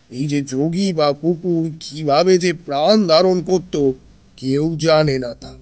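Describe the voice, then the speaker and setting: An actor playing a grave elder brother who recounts an ominous village tale with deliberate, dramatic pauses in a horror audio drama. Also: male voice, on the high side, a little mellow, natural voice. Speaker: storyteller character.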